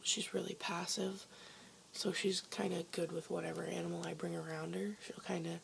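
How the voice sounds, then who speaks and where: A woman vlogger with a hoarse voice, chatting casually and rambling with lots of ums from her basement bedroom. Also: fairly low pitch, flat delivery, normal speed, slight background noise. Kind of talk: casual chat to camera.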